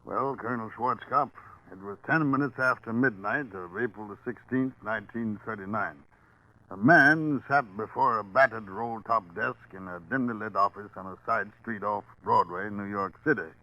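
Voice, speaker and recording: Man acting a lowish, sober radio police inspector. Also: lightly acted. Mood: grave, narrating. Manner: measured, grave storytelling. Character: sober retired male New York police inspector. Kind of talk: scripted 1940s radio crime narration. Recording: dull vintage 1940s radio broadcast.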